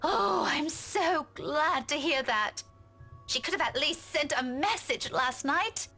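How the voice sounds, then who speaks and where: An actress playing an anxious, caring young schoolteacher in a visual novel game, fretful and insistent, quick to talk back. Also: female voice, high-pitched, expressive, bright, forceful tone, soft background music, heavily acted. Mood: relieved. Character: anxious, caring young female schoolteacher, fussing over friends.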